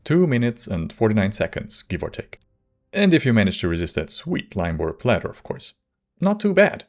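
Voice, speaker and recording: A man narrating a scripted video essay as host, measured, with wry asides. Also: lowish voice, huge pitch swings, a little mellow. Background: faint music.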